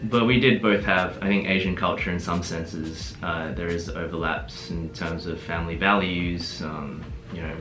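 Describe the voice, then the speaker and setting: A man's voice: sits low, normal speed, few pauses. A young man from Adelaide with an Australian accent, chatting easily about his relationship with lots of laughs and fillers. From Adelaide, South Australia. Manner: relaxed, laughs often, many fillers. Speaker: guest, host's fiancé. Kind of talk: casual relationship Q&A. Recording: echoey room with background music.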